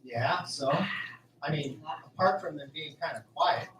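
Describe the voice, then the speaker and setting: A man's voice: on the high side, measured pace, a bit bright. A man acting in an amateur radio drama as a wry, uneasy young farmhand with casual, sarcastic speech. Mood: puzzled. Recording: roomy, distant, faint background noise.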